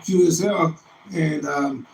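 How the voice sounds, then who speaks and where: Middle-aged man with creaky, roomy voice. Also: fairly high pitch, fairly even intonation, slow, a little mellow. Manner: anecdotal, explanatory. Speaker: amateur radio operator. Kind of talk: casual ham radio conversation. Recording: roomy echo with faint noise.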